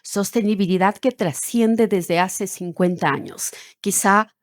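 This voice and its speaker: A woman's voice: medium pitch, unhurried, no room sound, studio quality. A woman moderating a panel, formally and emphatically commenting between speakers and introducing them.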